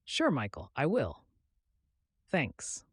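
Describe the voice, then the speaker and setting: A man's voice: mid-range voice, huge pitch swings, slow, slightly rough, dry recording, clean studio sound, full character voice. A man voicing a wry, evasive regular guy on the run in story dialogue, with a casual, half-joking manner. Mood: feigned casual.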